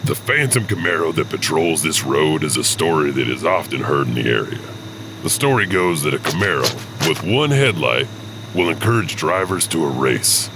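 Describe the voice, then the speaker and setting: A man's voice: a bass voice, very animated, moderate pace, noisy background, heavily acted. An actor playing a knowing local man who recounts a legend in a wry, folksy way for an audio horror anthology. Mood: wry, ominous.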